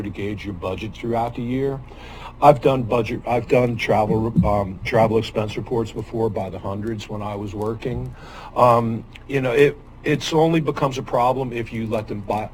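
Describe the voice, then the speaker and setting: A man's voice: medium pitch, a little mellow, slight background noise, poor audio. An older man with a mid-range voice addresses a public board as a former auditor. He talks methodically, quoting policy text and stopping often for ums and restarts. He speaks at a podium mic in a meeting room. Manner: methodical, reads policy wording aloud, many ums and restarts.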